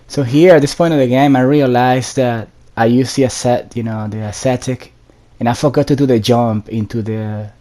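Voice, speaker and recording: A man's voice: mid-range voice, mellow. A man giving casual solo gameplay commentary, addressing viewers directly with instructions as he plays. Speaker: gaming video host.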